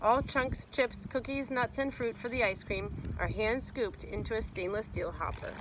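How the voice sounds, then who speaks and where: A woman's voice: fairly high pitch, moderate pace, background noise. A woman reading a children's nonfiction book aloud as a teacher would, explaining and speaking to young listeners.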